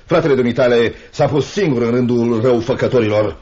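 A man's voice: medium pitch, a little mellow, close to an everyday voice. An actor playing a cold, scornful uncle and hard man of business in a Romanian radio play. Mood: cold contempt. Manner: stern, scornful, threatening. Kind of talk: Romanian radio theatre acting. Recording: dull lo-fi radio drama recording.

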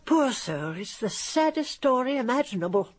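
A woman's voice: high-pitched, big pitch swings, moderate pace, slightly rough, full character voice. An actress playing a middle-aged, gushing, decisive upper-class British lady in a radio drama. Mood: sorrowful storytelling.

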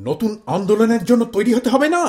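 High-voiced, theatrical father figure played by a man; boastful, big plans, audio play. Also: quick pace, warm tone. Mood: fired-up. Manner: boastful, theatrical, mixing in English phrases. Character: fired-up middle-aged father with grand protest plans.

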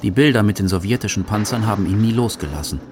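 A man's voice: on the low side, lively intonation, quick pace, background noise. A man reading scripted narration for a radio feature as its documentary narrator.

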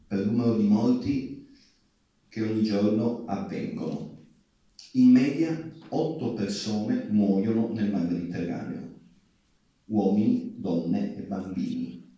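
A man giving a public speech from a theatre stage, deliberately, in short phrases broken by pauses. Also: medium pitch, mellow. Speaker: ship owner and activist speaker. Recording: very echoey theatre hall, distant mic.

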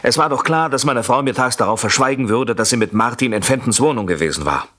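Insistent scheming husband, highly animated delivery. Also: male voice, quick pace. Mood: calculated confession. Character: Mr Warren, scheming jealous husband confessing to police inspector. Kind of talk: German radio play acting.

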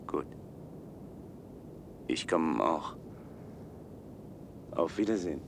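A man's voice: extremely low pitch, lively intonation, very slow, vocal fry, a little hiss or hum, heavily acted. An actor playing an earnest, persuasive man from a sheltered society in a science-fiction radio play. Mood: subdued, concerned.

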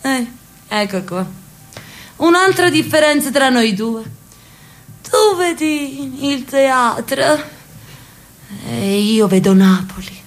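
An actress playing an elderly sister from Naples in a radio drama, telling a street story with lively, affectionate chatter. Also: female voice, high voice, slow pace, long pauses. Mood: storytelling. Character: elderly Neapolitan sister, talkative, loves her city.

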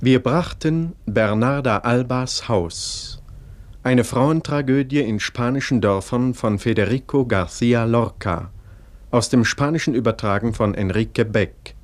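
Male announcer, medium pitch, formal, measured, scripted radio credits.

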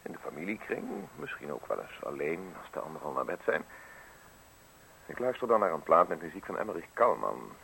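A man's voice: deep voice, very animated, a little fast, creaky, sharp tone, exaggerated voice, poor audio. An actor playing a polite, formal man on a secretive errand in a Dutch radio play. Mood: deferential, fussy. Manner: overly polite, fussy, precise. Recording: hissy old radio drama recording.

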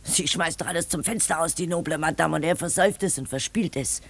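An actress playing a nosy, spiteful old village neighbour in a German radio drama, scornful and prying. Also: female voice, low-pitched, fast. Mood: scornful gossip.

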